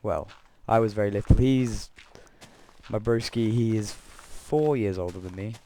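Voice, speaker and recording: A young man with a croaky voice from being unwell, rambling through gameplay commentary and a story, correcting himself often with chuckling asides. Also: lowish voice, a little slow, mellow, slight background noise. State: croaky voice from illness. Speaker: Minecraft let's player.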